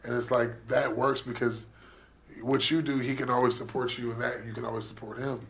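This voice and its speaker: A man's voice: mid-range voice, flat delivery, brisk, fairly bright tone. A man, hosting a radio show in casual, joking, slangy chat.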